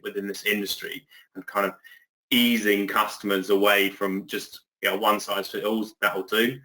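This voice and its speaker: A man's voice: fairly low pitch, level tone, moderate pace, pushed and bright, rough recording. An English man speaking as a guest on a webinar, chatty and loose, with many fillers and restarts, on a video call. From England. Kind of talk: conversational webinar discussion.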